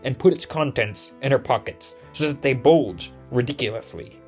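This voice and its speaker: A man reads scripted story narration for an audio drama. He speaks at a medium pitch, and music plays clearly beneath him. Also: expressive, moderate pace, a little mellow.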